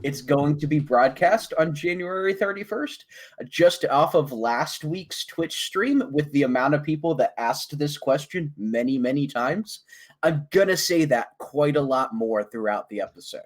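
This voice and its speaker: A man with a somewhat high voice hosts a casual podcast. He rambles and restarts his sentences, circling back to finish his point. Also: expressive, unhurried. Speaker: host.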